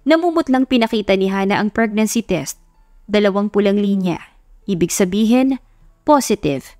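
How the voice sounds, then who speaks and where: A woman's voice: medium pitch, expressive, normal speed, a little mellow, dry recording, studio quality. A woman with a Filipino accent narrating a romance audiobook in a scripted, dramatic storytelling style. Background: music.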